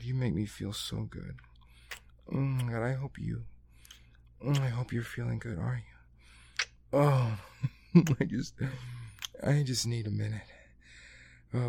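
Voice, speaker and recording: Gravelly, husky man in intimate roleplay. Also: medium pitch, a little mellow, no room sound. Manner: breathy, moaning, heavy exclamations. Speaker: audio roleplay performer. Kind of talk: intimate roleplay monologue addressed to a listener.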